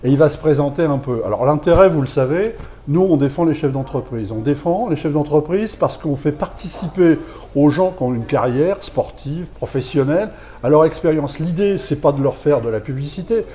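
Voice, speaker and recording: A man's voice: a little high, animated, moderate pace, warm tone. A man who hosts interviews for a business association, asking long, winding questions full of fillers.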